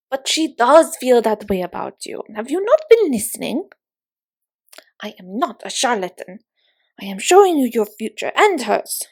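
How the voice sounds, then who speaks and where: A woman voicing a mysterious carnival fortune teller in a roleplay audio, theatrical and grandly insistent, with formal, portentous phrasing. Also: on the high side. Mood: indignant, insistent.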